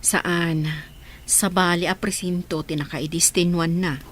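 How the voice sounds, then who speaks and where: A woman's voice: on the low side, flat delivery, measured pace. An actress in a radio drama playing a firm, reassuring grown daughter and mother. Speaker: actor in a radio comedy drama. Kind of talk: Ilocano radio drama acting.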